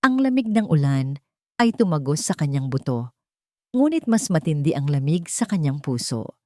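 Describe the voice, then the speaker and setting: A woman with a low-pitched voice narrates a dramatic love story. Her phrasing is measured and theatrical, and the recording is close and dry. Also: very animated, warm tone, no room sound.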